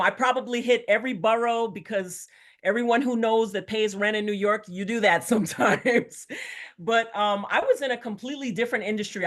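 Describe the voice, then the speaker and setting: A woman's voice: medium pitch, fairly bright tone. Chatty middle-aged woman on a video call. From born in Jamaica, grew up in the United States. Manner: chatty, enthusiastic, rambling. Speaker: real estate coach, guest. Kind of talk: casual webinar talk.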